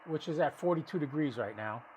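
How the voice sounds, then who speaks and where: A man's voice: on the high side, lively intonation, fairly quick, background noise. A man giving casual live commentary as a radio stream host, thinking aloud and explaining as he goes, often restarting his sentences. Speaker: ham radio livestream host.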